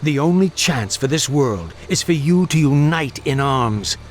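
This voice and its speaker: An actor playing a mysterious, commanding male prophet wizard in a video game cinematic, measured and portentous. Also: on the high side, lively intonation, a little hiss or hum. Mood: grave, portentous.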